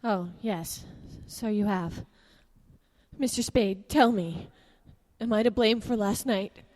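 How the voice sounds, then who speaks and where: A treacherous young woman, voiced by an actress, hesitant and breathy as she pleads for help. Her sentences stall and restart. A long reverb trails behind her voice. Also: medium pitch, slow pace, darkish tone, natural voice. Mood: pleading.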